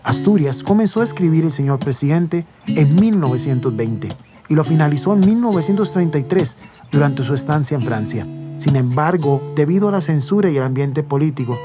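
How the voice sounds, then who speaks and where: A man's voice: on the high side, expressive, a little fast. Male educational narrator over guitar music. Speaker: program narrator. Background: music, plucked guitar.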